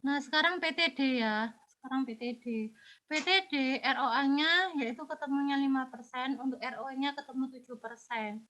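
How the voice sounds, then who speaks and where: A woman's voice: high-pitched, moderate pace, pushed and bright. A woman teacher with a cold explaining exam answers step by step, her voice a little congested and unclear. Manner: patient, step-by-step explaining, addresses students directly. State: has a cold.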